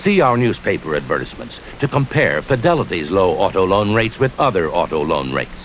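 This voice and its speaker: A man's voice: low-pitched, big pitch swings, brisk, poor audio. A man with an American accent reading a scripted advertisement as a commercial announcer. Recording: hissy 1970s AM radio commercial.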